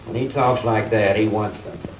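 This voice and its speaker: An actor plays a put-upon American reporter in an old 1960s film, wisecracking dryly. His voice is fairly low. Faint noise runs through the soundtrack. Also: fairly even intonation, brisk, natural voice. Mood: wryly reluctant. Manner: dry, sardonic. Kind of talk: 1960s B-movie acting.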